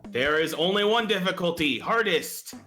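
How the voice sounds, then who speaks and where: A man with an American accent, a guest game master explaining settings casually, relaxed and chuckly. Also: sits high, moderate pace, soft background music. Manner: relaxed, chuckling, hesitant with fillers.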